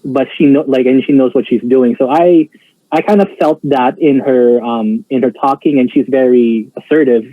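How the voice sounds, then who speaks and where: A man's voice: medium pitch, normal speed, no room sound. A man chatting casually in a reaction discussion, hesitant, with many fillers and restarts. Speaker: co-host reacting to a show. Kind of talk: casual chat. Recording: dry, tinny phone-like sound.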